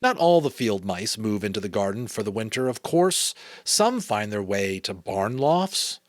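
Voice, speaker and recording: A man with an American accent reading a storybook as an amateur narrator, with expressive phrasing. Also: lowish voice, measured pace, dry recording, clean studio sound. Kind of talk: dramatic storybook reading with casual asides.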